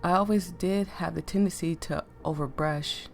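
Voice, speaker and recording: A woman with a deep voice that sounds sick, chatting casually and reading game text aloud as a let's play host, easily amused and stumbling over words. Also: normal speed, vocal fry, soft background music. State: sick, voice affected.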